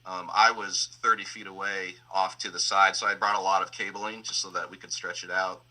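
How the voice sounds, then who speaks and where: A man's voice: sits low, flat delivery, a little fast, bright, forceful tone, rough recording. A man talking casually and informally as a panel guest, explaining technical work. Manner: informal, self-quoting. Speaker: audio engineer and panel guest.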